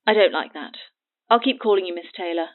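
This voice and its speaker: A woman's voice: on the low side, fast talker. Haughty, needling woman on the phone. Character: Birdie, cagey mocking woman selling her testimony. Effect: phone line filter. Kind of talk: naturalistic audio drama acting. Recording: tinny narrow phone line.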